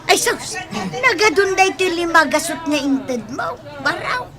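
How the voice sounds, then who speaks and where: Old village mother, impressionable, high voice, unhurried and swooping, radio comedy drama. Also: expressive, a crowd in the background. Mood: pleased. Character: elderly village mother-in-law, easily impressed.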